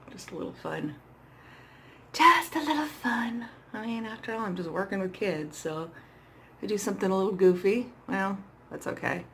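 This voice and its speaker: A middle-aged woman with a hoarse voice, chatting casually and playfully to herself as she demonstrates makeup, often poking fun at herself. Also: mid-range voice, fairly bright tone. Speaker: makeup vlogger. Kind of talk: casual makeup tutorial chat, talking to herself while working. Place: small indoor setup with dark backdrop.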